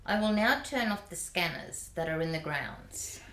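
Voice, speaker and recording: A woman playing a calm, precise robot-like helper who explains each step in a measured way, in an echoey amateur radio play. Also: fairly low pitch. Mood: matter-of-fact. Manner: measured, matter-of-fact.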